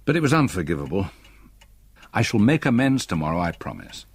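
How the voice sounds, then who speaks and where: Haunted old British gentleman, low and husky. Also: sing-song, fairly quick, dry recording, heavily acted. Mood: apologetic, tender. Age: older. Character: haunted, weary old retired solicitor, tender with wife. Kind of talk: radio drama acting and narration.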